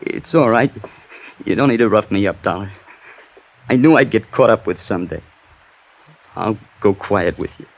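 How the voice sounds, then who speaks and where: A man's voice: on the low side, lively intonation, normal speed, creaky, pauses often, lo-fi sound. An actor playing a nervous, guilt-ridden young fisherman, stammering and pleading in 1950s radio drama style. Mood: resigned, fearful.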